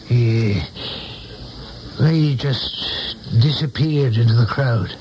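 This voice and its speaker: An actor playing a concerned, probing American man, a confidant, with measured, deliberate delivery in a 1970s radio drama style. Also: medium pitch, background noise. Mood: puzzled.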